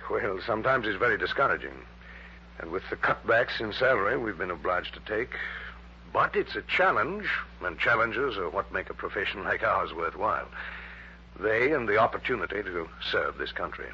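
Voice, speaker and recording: A man's voice: lowish voice, lively intonation, moderate pace, bright, forceful tone, a little hiss or hum. An actor playing an earnest, troubled male research chemist in a 1960s radio drama, hesitant yet emphatic. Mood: earnest, discouraged. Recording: dull, humming early-1960s radio recording.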